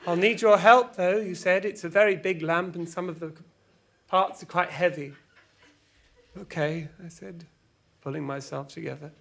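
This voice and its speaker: A man's voice: high-pitched, fairly even intonation, vocal fry, fairly bright tone. A man acting a wistful, hesitant character who falters through a tender memory in an audio drama monologue. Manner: hesitant, halting.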